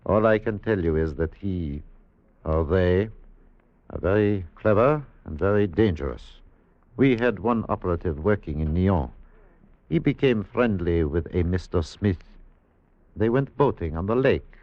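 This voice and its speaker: An actor playing a courteous, cryptic tobacconist informant with deliberate, formal phrasing in a 1950s radio drama. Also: male voice, low voice, lively intonation, no room sound. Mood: grave, cryptic. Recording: dull 1950s radio recording.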